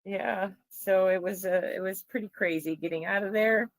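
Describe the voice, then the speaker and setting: A woman's voice: mid-range voice, normal speed, dry recording. A woman from the Chicago area with a Chicago accent, chatting casually as a guest on a livestream. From Illinois, Chicago area.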